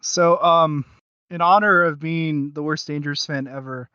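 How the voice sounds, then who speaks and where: Bright-voiced man, hesitant podcast chat. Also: a little high, steady tone, moderate pace, clean tone, dry recording, highs cut off. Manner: hesitant, searching for words, rambling. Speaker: podcast co-host reviewing audio series. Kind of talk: casual review chat.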